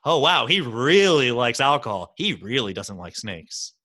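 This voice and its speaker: A man guesting on a podcast, chatting casually and telling animated stories. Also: mid-range voice, dry recording, clean studio sound. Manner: animated, quick storytelling. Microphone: podcast microphone.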